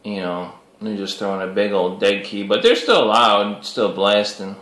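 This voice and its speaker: A man with a fairly low voice chats casually as a CB radio hobbyist. His talk is loose and slangy, and the room around him sounds noticeably echoey. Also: normal speed, very noisy. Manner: informal, peppers talk with "you know" and CB slang. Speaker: CB radio hobbyist recording the video.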